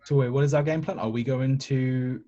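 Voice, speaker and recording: A man's voice: mid-range voice, steady tone, moderate pace, mellow. A man playing a tabletop game, talking casually, on dull lo-fi audio.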